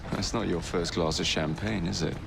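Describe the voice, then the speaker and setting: A gravelly, cocky gang leader. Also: male voice, sits low, steady tone, normal speed, background noise. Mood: wry. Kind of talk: video game cutscene acting.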